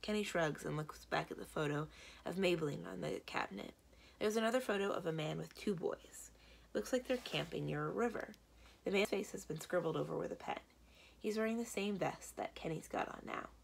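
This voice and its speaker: A woman's voice: on the low side, fairly quick, vocal fry, husky. A woman reading a children's book aloud as a library storyteller, narrating expressively.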